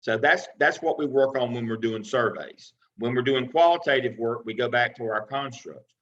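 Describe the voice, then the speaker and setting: A man giving feedback as a professor in an online class, his voice bright and forceful. He talks in a folksy, repetitive way, restarting phrases. The video call sound is a little dull. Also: medium pitch, normal speed. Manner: folksy, repeats words as he restarts.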